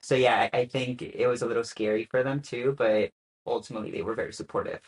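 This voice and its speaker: A young man speaks at an ordinary middle pitch. He talks casually and a little haltingly, with plenty of "uh" fillers between his thoughts. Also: poor audio. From Spain. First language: Spanish. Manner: relaxed, hesitant with frequent "uh" fillers and soft laughs. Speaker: guest. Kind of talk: casual interview chat.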